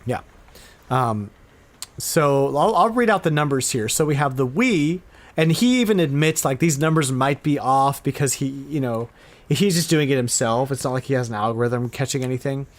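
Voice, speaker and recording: A man speaks as a podcast host in a middling pitch. His delivery is casual and informal, like chat among hobbyists. He tends to halt and repeat words as he goes. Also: animated, moderate pace. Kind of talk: casual chat about retro game news.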